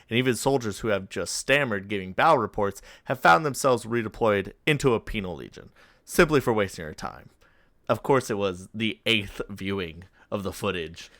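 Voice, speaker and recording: Male podcast cohost going through lore, kinda low voice, no room sound. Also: fairly bright tone, no room sound, clean studio sound. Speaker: podcast co-host. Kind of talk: explaining and narrating fictional lore.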